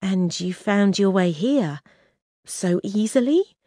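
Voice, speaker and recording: A woman voicing a cautious, sharp-minded young woman in an audiobook, with a guarded, questioning manner. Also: mid-range voice, expressive, slow, dry recording, clean studio sound, voice pushed up. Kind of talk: audiobook character reading.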